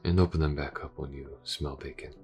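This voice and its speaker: Man voicing a very deep, measured detective. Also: fairly even intonation, soft background music. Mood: caring. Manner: measured, formal, gently reassuring, hesitant pauses. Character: calm, reserved male police detective caring for wounded partner. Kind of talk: audio roleplay, character acting.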